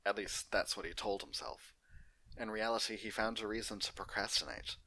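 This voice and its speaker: Low-voiced man with a bright, forceful tone. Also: level tone, moderate pace, rough recording. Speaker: narrator and host of fan fiction readings. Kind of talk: unedited story narration.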